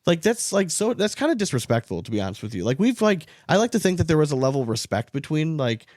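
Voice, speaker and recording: Fast-talking man in casual podcast chat, dry sound. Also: a little high, big pitch swings, darkish tone, no room sound. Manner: informal, swearing, rambling with frequent "like". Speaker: podcast co-host.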